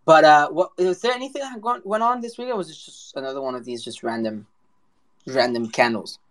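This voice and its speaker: A man's voice: on the high side, brisk, a bit bright. Playful, informal male host. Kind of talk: casual chat, asking questions.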